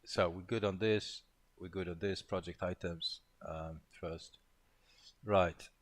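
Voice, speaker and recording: Rambling low-voiced man, thinking aloud. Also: fairly even intonation, slow pace, a little hiss or hum. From Poland. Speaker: programmer co-hosting a live coding session. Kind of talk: casual pair-programming chat.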